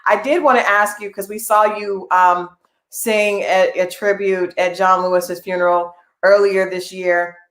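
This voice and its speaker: A woman's voice: mid-range voice, steady tone, unhurried, fairly bright tone. A woman hosting an interview speaks in a mid-range voice from an echoey room over a video call. She asks long, rambling questions and murmurs agreement often. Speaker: interview host.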